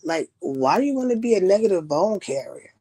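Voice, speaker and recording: A woman's voice: medium pitch, big pitch swings, brisk, warm tone, slightly rough. A woman hosting a live stream on a headset mic, talking casually and preachily, calling her guests sis and baby. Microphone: headset microphone.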